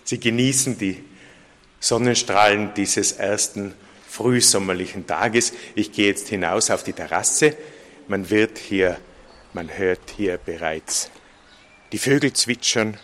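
A man with a low voice delivers radio reportage on location. He narrates what surrounds him as a reporter, in a descriptive running account. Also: slow pace, a bit bright. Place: outdoors on a terrace during a conference break.

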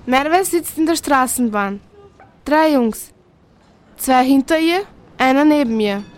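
A young woman from Serbia, Serbian native speaker, narrating a poetic radio feature in a calm, measured way. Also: high-pitched, a little hiss or hum. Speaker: student narrator.

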